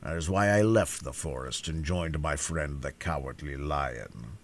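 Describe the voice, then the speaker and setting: A man's voice: rumbling low, measured pace, voice pushed down, exaggerated voice. A man voicing a huge, hungry tiger in a storybook reading, grave and rueful about his own nature. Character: huge hungry male tiger, gentle and conscientious.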